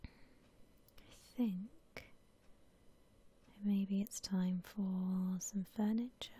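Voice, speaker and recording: A woman whispering softly in a gentle, unhurried, musing way, as in ASMR commentary on a game she is playing. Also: medium pitch, steady tone. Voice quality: soft breathy whisper. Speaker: gaming ASMR host.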